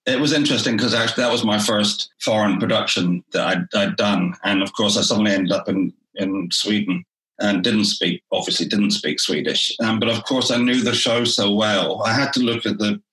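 Low-pitched guy chatting about directing, lots of restarts, roomy echo. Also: normal speed, fairly bright tone. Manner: thoughtful, restarts phrases often. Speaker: theatre director, interview guest. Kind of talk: relaxed conversational interview.

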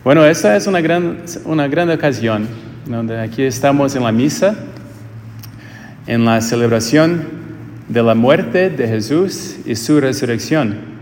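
Priest with Portuguese accent, echoey church. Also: male voice, mid-range voice, lively intonation, darkish tone, a little hiss or hum. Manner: slow, searching phrasing with frequent restarts. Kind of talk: homily at a quinceañera Mass. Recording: echoey church with long reverb.

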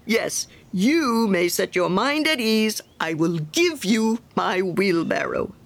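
A woman's voice: medium pitch, animated, takes it slowly. A woman voicing a pompous, self-righteous male miller who talks in a smug, preachy way. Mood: self-satisfied.

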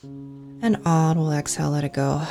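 Low, calm woman guiding meditation over music. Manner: calm, soothing, deliberate. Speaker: meditation guide, guest. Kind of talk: guided meditation.

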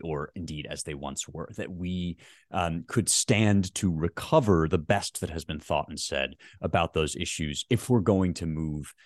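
Man, very low voice, dry close sound, thoughtful academic, halting with fillers. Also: darkish tone, no room sound. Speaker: author and guest. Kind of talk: academic interview talk.

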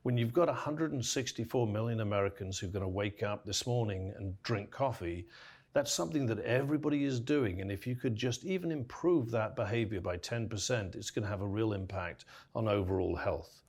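Husky low-voiced man making his case. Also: brisk. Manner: steady, persuasive, cites figures. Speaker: company founder guest. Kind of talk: casual interview.

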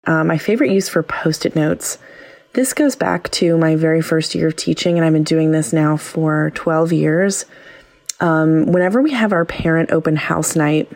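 A woman's voice: low-pitched, moderate pace, a little mellow. A woman teacher leaving a casual voicemail, explaining something from her own work.

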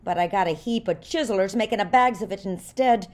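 A woman's voice: medium pitch, a little fast, a little mellow, natural voice. A woman playing a vain, imperious older Irish singing star in a comedic audio drama, haughty and scornful. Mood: scornful, impatient.

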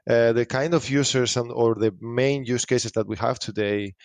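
A man's voice: mid-range voice, steady tone, normal speed, no room sound. A man with a Spanish accent giving explanatory interview answers as a company founder.

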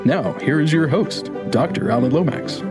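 A man reading a scripted show intro as announcer in a bright, singsong promo delivery. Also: on the high side, moderate pace. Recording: produced intro over music. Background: music bed.

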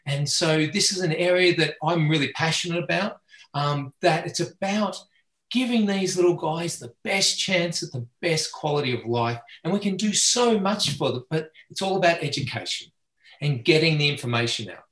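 A man's voice: a little high, animated, normal speed, fairly bright tone. A middle-aged man with an Australian accent, a veterinarian answering interview questions earnestly and passionately, sometimes restarting his sentences. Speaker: veterinarian guest. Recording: echoey room.

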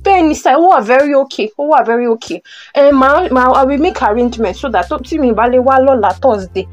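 A woman's voice: high voice, expressive, fast, muffled recording, faint music behind. A woman with a Nigerian accent playing a polite, eager young mother in an audio drama. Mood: eager, deferential. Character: Tumi, eager, deferential young mother seeking a flat. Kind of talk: audio drama acting, Yoruba-English phone conversation.

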